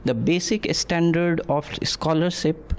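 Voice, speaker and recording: A man's voice: fairly high pitch, slow, clear, resonant tone. A man arguing a point on a podcast, hesitant and full of fillers. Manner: hesitant, many fillers and restarts, indignant. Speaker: panel guest. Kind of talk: casual panel discussion.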